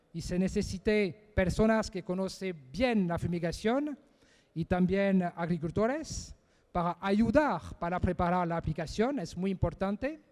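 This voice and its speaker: High-voiced man in an echoey hall. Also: a little mellow. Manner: halting, searching for words in a second language. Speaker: conference speaker. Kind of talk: technical presentation.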